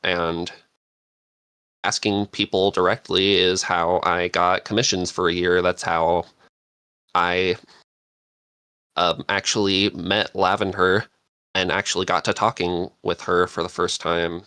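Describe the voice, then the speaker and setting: A man giving casual advice as a podcast panelist, halting and thinking aloud as he goes. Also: low voice, level tone, pushed and bright, clear, resonant tone, no room sound. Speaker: podcast panelist, script writer.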